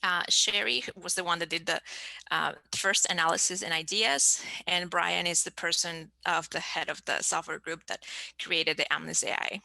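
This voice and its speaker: A woman scientist in her thirties from Peru, giving an online science talk in a halting way with many fillers and restarts. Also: lowish voice, fairly even intonation, vocal fry, pushed and bright, dry recording, poor audio. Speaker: scientist presenter.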